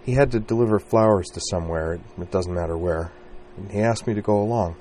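Deep-voiced male reader doing a soft, wavering young woman, hushed and hurt, for an audiobook. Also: fast talker, slight background noise, close to an everyday voice. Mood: hushed, wistful.

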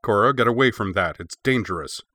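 A man's voice: fairly low pitch, fairly quick, bright, forceful tone, no room sound. A man voicing a stern, irritable male martial arts master in a story, scolding and snappish.

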